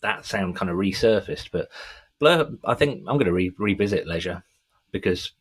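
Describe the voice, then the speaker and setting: A man's voice: deep voice, expressive, normal speed, husky. A middle-aged man co-hosting a music podcast, chatting casually with an enthusiastic, sweary fan's manner. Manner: enthusiastic, sweary fan talk.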